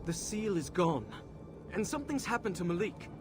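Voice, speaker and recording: A man's voice: high-pitched, sing-song, moderate pace. An actor playing a young, stubborn and heroic prince in a video game, earnest and defiant, protective of his brother. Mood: distressed, disbelieving. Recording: loud music behind the voice. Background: loud game music.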